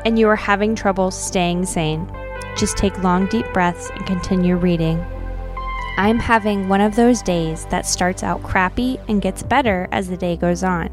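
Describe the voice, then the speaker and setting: Chatty woman podcast host, casual readings and banter, regular pitch, bit of music behind her. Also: normal speed. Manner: chatty, uses like and you know often. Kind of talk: casual chat with dramatic readings of old notes.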